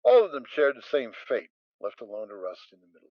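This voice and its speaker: A man narrating a fan audio drama in an expressive storytelling manner. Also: mid-range voice, fairly quick, no room sound. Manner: expressive reading. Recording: dull, dry lo-fi recording.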